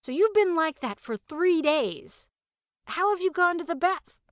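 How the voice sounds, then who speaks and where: A woman playing an excitable, sarcastic young heroine in audio fiction, with emphatic theatrical delivery. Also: extremely high pitch, measured pace, no room sound, studio quality, voice pushed up, heavily acted. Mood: incredulous, excited. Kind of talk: first-person audio fiction narration with acted dialogue.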